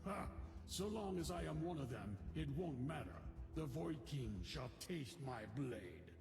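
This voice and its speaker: An actor playing a proud, grim barbarian warrior in a fantasy video game, with gravelly, solemn and declamatory delivery. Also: male voice, fairly high pitch, very animated, a little slow. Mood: proud, determined. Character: battle-hardened barbarian warrior man, proud, grim, eager for battle. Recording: echoey hall reverb under loud game music.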